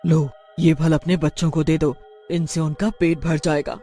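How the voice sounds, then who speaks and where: Man voicing a high-pitched, remorseful cartoon witch. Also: flat delivery, moderate pace, dark tone, soft background music, lightly acted. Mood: pleading. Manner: earnest, pleading, emphatic. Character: remorseful, well-meaning female witch, pleading and determined. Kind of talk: dramatic Hindi cartoon dialogue.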